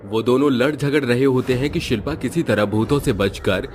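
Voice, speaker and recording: A man's voice: mid-range voice, normal speed, darkish tone. A man narrating a scripted horror cartoon story in a dramatic storytelling style.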